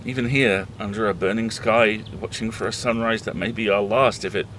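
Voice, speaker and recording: A man acts as a weary horror host in an audio drama, confiding in the listeners. His voice is on the low side, and he tells it with halting, deliberate earnestness. There is clear ambient noise behind him. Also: steady tone, a bit bright, close to an everyday voice. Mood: somber, ominous. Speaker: horror podcast host playing himself.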